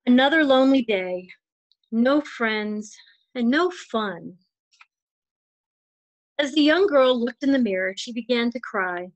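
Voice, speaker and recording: A woman's voice: on the high side, expressive, slow, long pauses, no echo, dull audio. A woman narrating a children's storybook as a volunteer storytime reader.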